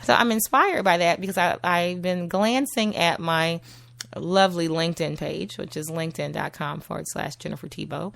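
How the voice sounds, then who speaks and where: Low gravelly woman with a husky voice. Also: normal speed, a bit bright, no echo. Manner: chatty, laughs often, many uh fillers. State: husky from a cold or allergies. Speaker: podcast host. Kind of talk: solo casual talk show.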